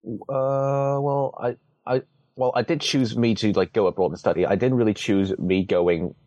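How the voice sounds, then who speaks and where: A man's voice: mid-range voice, steady tone, normal speed, darkish tone, no echo, muffled recording. A young man from Hong Kong whose first language is Cantonese, answering interview questions casually, with many restarts, fillers and wry humor. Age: young adult. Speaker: interviewee, student.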